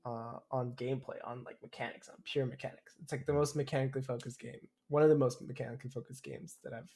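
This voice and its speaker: A man's voice: mid-range voice, fairly even intonation, quick pace, vocal fry, warm tone. A man co-hosting a podcast in casual chat, rambling hesitantly and often repeating his phrases. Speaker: podcast co-host.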